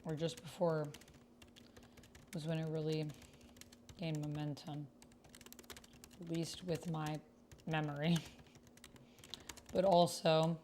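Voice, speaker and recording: A woman's voice: low-pitched, flat delivery, takes it slowly, pauses often, dark tone, slight background noise. A woman streamer chatting casually and thinking aloud as she plays and reads out a text-based roleplaying game. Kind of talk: casual livestream chat with typed roleplay read aloud.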